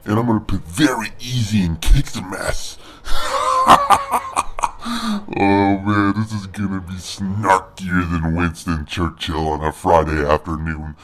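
A man giving joking, boastful let's play commentary through a pitched-down monster voice filter. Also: huge pitch swings, slow pace, background noise. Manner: joking, boastful, playful trash talk. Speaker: gamer.

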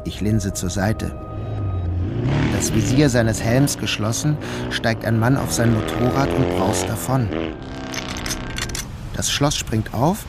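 An actor playing a troubled, dazed man who narrates his own day in a measured, literary radio drama style. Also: fairly low pitch, expressive, vocal fry, over loud music, full character voice. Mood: downcast. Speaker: first-person narrator.

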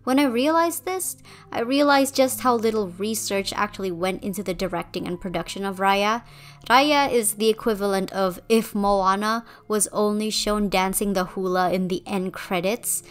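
A woman's voice: mid-range voice, normal speed. Young woman from Indonesia, video essay voice-over, conversational and opinionated. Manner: conversational, opinionated, a bit wry. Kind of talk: scripted commentary voice-over.

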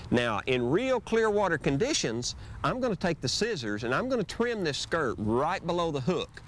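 A middle-aged man teaches fishing tricks outdoors on a lake in a relaxed, folksy way. His pitch moves around a lot as he explains, and a faint outdoor hiss sits under his lapel mic. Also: normal speed. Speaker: fishing show host. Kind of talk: instructional fishing demonstration. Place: outdoors on a lake, on a boat and on the shore.

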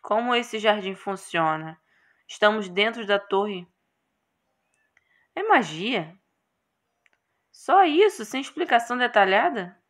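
A Brazilian woman plays a young storybook hero in a visual novel game, indignant and full of complaints. The delivery is unhurried, at a medium pitch. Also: lively intonation. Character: grumbling young male hero stuck in a tower.

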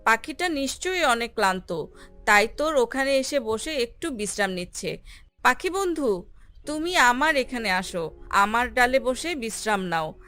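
A woman voicing a humble, kindly young green mango in a children's cartoon, reasoning gently. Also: fairly high pitch, sing-song, unhurried, full character voice. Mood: kind, coaxing. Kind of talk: animated children's fairy tale acting. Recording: music under the voice.

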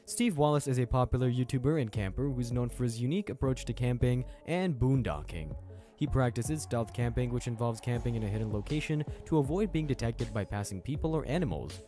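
A man narrates a scripted channel voice-over at a quick clip, his voice sitting in an ordinary middle register. He reads in a brisk, even way, with background music playing clearly under him throughout. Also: mid-range voice. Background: music bed.

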